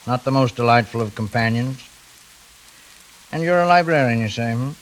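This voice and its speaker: A man's voice: mid-range voice, moderate pace, lightly acted, low-quality recording. A man playing a menacing fugitive intruder, formal, commanding and icily courteous, in 1940s radio drama style. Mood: quiet menace. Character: cold, menacing male fugitive intruder, mockingly polite. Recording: faintly hissy vintage radio recording.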